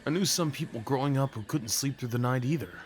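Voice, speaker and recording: A man acting a big, sweet, slightly nervous date in an ASMR roleplay, with playful teasing, boyish enthusiasm and soft hesitations. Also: mid-range voice, quick pace, a little hiss or hum, lightly acted. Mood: gently sympathetic. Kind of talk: ASMR roleplay audio drama, one-sided conversation.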